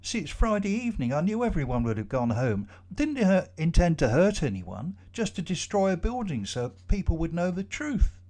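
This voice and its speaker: A man voicing a fidgety, remorseful young man in his thirties, pleading in a high, loud voice in a storybook reading. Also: very animated, normal speed, few pauses. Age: 30s.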